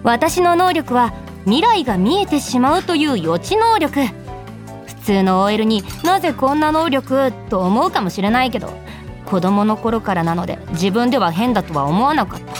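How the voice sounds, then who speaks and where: Woman acting a bright-voiced, animated young office lady. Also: on the high side, faint music behind. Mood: wry, confiding. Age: 20s. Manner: bubbly, self-deprecating, quick to retort. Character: cheerful 24-year-old female office worker, secretly psychic. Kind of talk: radio drama acting and first-person narration.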